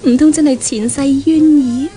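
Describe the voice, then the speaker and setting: High-pitched spoilt young rich girl, Hong Kong Cantonese, coquettish, imperious, old radio drama, music behind. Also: dark tone, low-quality recording. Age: young adult.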